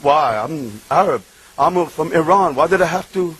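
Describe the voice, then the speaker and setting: Raspy, high-voiced New preacher. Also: male voice, lively intonation, normal speed. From Bronx, New York. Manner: shifts from loud shouting to hushed, tearful murmurs. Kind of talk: impassioned sermon.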